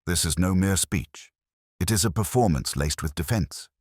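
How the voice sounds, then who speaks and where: Male narrator as grave Victorian detective, extremely low voice, precise and formal, animated delivery, storybook dialogue. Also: normal speed, dry recording, studio quality. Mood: dry, watchful. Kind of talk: audiobook character dialogue.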